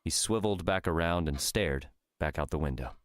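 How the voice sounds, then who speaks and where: A man narrating a scripted fiction audiobook in a measured storytelling manner. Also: very deep voice.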